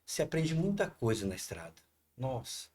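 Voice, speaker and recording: A man spinning anecdotes as a podcast guest, his voice sitting at an ordinary mid pitch. He talks in animated bursts, peppering every thought with little tag questions. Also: normal speed. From Paraná, Brazil. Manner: animated anecdotal telling, frequent "né". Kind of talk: storytelling in casual conversation.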